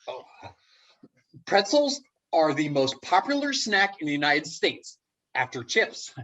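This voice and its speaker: A man plays an awkward, wry office worker in a stage comedy. His voice is husky and mid-pitched, with lively rises and falls. He talks slowly and haltingly, nervously hopeful. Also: heavily acted, rough recording. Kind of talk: comedic play table reading.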